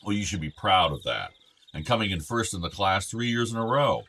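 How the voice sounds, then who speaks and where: A man's voice: fairly low pitch, big pitch swings, a little fast, sharp tone, slight background noise, exaggerated voice. A male actor playing a fond, outdoorsy middle-aged father of two grown sons in a naturalistic radio play. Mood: fond, proud. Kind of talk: naturalistic radio drama dialogue.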